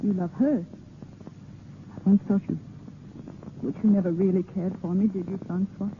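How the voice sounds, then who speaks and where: A woman's voice: medium pitch, steady tone, normal speed, phone-quality sound, lightly acted. An actress playing a devoted, lovelorn, brave young woman in a 1930s radio drama, with melodramatic pleading. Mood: wistful. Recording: noisy, thin 1930s radio broadcast.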